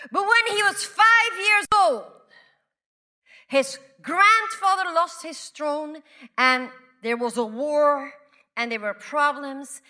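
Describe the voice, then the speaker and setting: A woman from Belgium preaching a sermon, repeating phrases and swinging from loud declarations to hushed whispers. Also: high voice. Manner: slow, deliberate delivery with repeated phrases.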